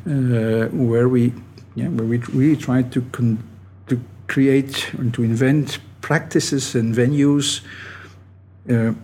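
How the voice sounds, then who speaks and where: A middle-aged man, a native Dutch speaker with a Dutch accent, giving thoughtful, halting answers as an academic guest in an interview. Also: mid-range voice, fairly even intonation, darkish tone, a little hiss or hum. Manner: thoughtful, halting, often restarting phrases. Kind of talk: reflective interview talk.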